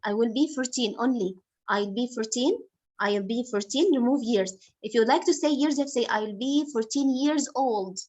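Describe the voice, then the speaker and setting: A woman teacher speaks over a video call, her voice sitting a bit high. She goes slowly and patiently, repeating each correction and softening it with terms of endearment. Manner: patient, explains slowly, repeats corrections, calls student honey. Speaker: English teacher. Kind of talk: online class correcting a student.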